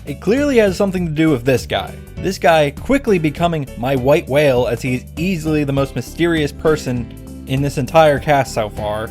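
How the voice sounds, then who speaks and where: A man's voice: fairly high pitch, lively intonation, moderate pace, darkish tone. A man hosting a visual novel reaction summary, narrating casually and comedically with sarcastic, sweary commentary. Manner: sarcastic, profane. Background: music.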